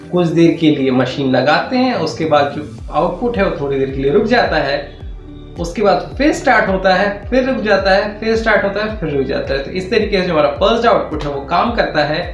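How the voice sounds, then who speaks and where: An Indian man teaching physiotherapy in a methodical, step-by-step explanatory lecture with repetitive phrasing. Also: high voice. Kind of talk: explanatory lecture with Hindi-English technical terms. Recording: roomy echo.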